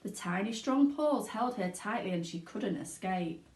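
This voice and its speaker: A woman narrating a children's storybook aloud in an expressive reading style. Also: medium pitch, unhurried. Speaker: teaching assistant reading aloud. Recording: echoey room.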